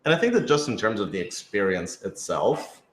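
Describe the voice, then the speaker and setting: A man with a fairly low voice talks through his thoughts in a casual panel discussion. He hedges and peppers his speech with "like". The room adds a clear echo around him. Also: big pitch swings, fairly quick. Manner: thoughtful, hedging, frequent "like". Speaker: podcast creator and writer in a group Q&A. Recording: roomy, echoey room sound.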